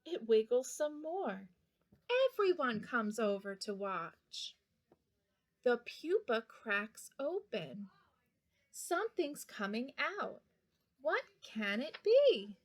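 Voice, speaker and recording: High, animated woman reading to young children. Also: takes it slowly. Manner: greets and questions young listeners directly. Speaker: children's storybook reader. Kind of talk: read-aloud of a picture book for young children.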